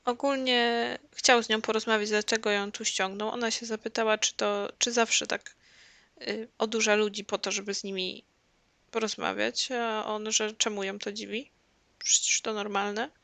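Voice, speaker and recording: Bright, creaky Polish woman commenting on a game. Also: mid-range voice, flat delivery, moderate pace, no room sound. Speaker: gameplay streamer translating the dialogue.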